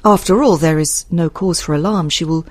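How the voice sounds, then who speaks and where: A woman voicing a grave, devoted gentleman from a period novel, speaking in a slow, measured and sometimes halting way. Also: deep voice, no room sound. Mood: calm, measured.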